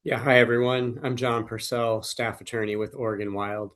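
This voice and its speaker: A man with a cold, slightly hoarse, giving a measured legal explanation as a staff attorney in an online presentation, with frequent fillers. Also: mid-range voice, fairly even intonation, fairly bright tone. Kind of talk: webinar presentation.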